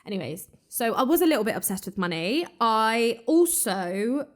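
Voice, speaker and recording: A woman's voice: on the high side, big pitch swings, normal speed. A woman in her twenties hosting a podcast, talking frankly and casually with lots of "like" fillers, her accent said to carry a faint northern twang. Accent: southern English with a slight northern twang. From England and Ireland. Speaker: podcast host. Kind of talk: casual solo chat.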